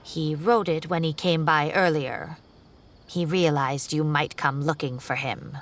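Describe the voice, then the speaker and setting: A woman voicing a kindly older female professor in a video game, measured and gently fretful. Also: low voice, steady tone.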